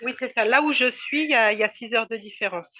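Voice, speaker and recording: A woman's voice: fairly high pitch, fast talker, bright, forceful tone, no echo. Hesitant French woman on a lo-fi call. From France. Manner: hesitant, many fillers and restarts. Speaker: guest. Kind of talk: casual conversational interview.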